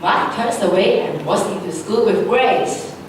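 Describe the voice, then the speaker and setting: A woman's voice: medium pitch, a little slow. Woman narrator in a heavily echoing hall. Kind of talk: scripted stage story narration. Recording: echoey hall, distant mic, faint ambient noise.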